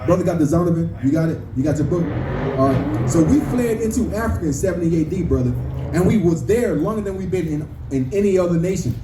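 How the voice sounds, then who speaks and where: A man's voice: a little high, fast. A man preaching and debating, who keeps calling his listeners "brother" and asks others to read out verses. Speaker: street preacher. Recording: echoey, distant, with ambient noise.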